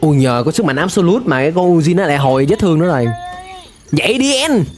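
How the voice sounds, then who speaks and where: A young adult man giving animated, exclamatory reaction commentary in slangy speech, close on a desk microphone. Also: on the high side, quick pace, soft background music. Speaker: reaction video host. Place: small indoor room, webcam setup.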